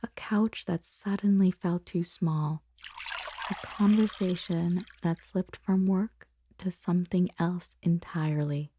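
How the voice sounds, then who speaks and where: A woman playing a conflicted boss confessing forbidden desire in an intimate, breathy, whispered ASMR roleplay monologue. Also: fairly low pitch, fairly even intonation, mellow. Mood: longing, sensual. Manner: slow, intimate, halting delivery.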